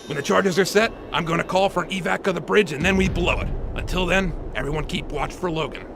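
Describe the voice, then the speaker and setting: A man's voice: sits high, quick pace, creaky. An actor playing a hard, businesslike male enemy officer in a video game. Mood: commanding. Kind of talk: video game cutscene voice acting. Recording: very loud outdoor background noise.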